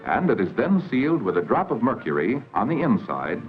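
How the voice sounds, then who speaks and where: A man's voice: mid-range voice, very animated, a little fast, soft background music. An American man delivering formal, scripted 1940s educational documentary narration on an old film soundtrack. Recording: dull, band-limited 1940s film soundtrack.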